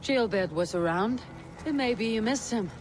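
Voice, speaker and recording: An actor playing a stoic, decisive Viking warrior in a game cutscene, blunt and clipped. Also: male voice, sits high, animated, moderate pace, music in the background. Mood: matter-of-fact.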